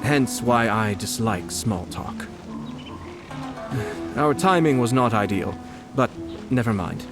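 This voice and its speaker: A man's voice: mid-range voice, expressive, takes it slowly, long pauses. An actor playing a refined young male noble who speaks politely and formally, with faint music behind. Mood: calm. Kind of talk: game voice acting.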